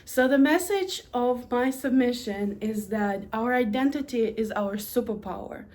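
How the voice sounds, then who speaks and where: A woman's voice: a little high, animated, a little slow, darkish tone. A woman in her thirties from Russia with a Russian accent, a university administrator giving an earnest, prepared personal story talk, stressing her lists in rhythmic beats.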